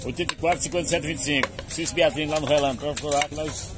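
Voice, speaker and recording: Quick-talking cattle seller, higher voice, recorded from a distance over loud market noise. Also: male voice. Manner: quick, low-key sales talk, a bit off-mic. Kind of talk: casual chat at a market. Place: outdoor cattle fair. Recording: distant from the phone mic, noisy outdoors.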